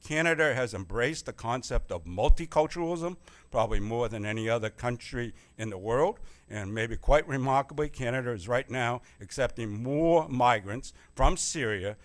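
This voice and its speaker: A man's voice: medium pitch, moderate pace. A man, a history professor, giving a plain-spoken public lecture at a church forum on a microphone. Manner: plain-spoken, self-deprecating opening, steady explanatory delivery. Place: church meeting room. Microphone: handheld or stand microphone.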